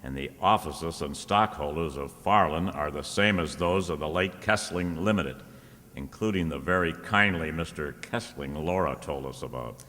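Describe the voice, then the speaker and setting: A man's voice: very deep voice, normal speed, fairly bright tone. A man playing a dogged, wisecracking private investigator in an old-time radio drama, with clipped, slangy delivery. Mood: probing. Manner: hard-boiled, slangy, clipped. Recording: reverberant hall, stage-like sound.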